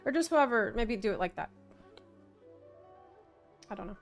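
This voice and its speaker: A woman game streamer giving chatty, expressive, casual live commentary. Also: medium pitch, moderate pace, creaky, faint music behind.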